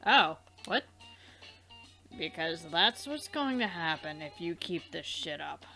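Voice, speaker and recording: A woman with a slightly low voice co-hosts a game let's play. She talks slowly in casual, rambling bursts, repeating words as she goes, over faint music. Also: animated, sharp tone.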